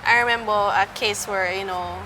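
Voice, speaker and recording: A woman's voice: medium pitch, steady tone, normal speed, noisy background. A woman hosting a casual interview. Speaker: podcast host, interviewer.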